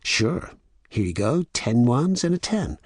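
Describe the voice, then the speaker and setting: Man as storybook waitress, female character, chirpy, obliging, trusting, raised pitch, husky. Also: very animated, unhurried, a little mellow, no room sound, clean studio sound. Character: friendly, trusting young American waitress.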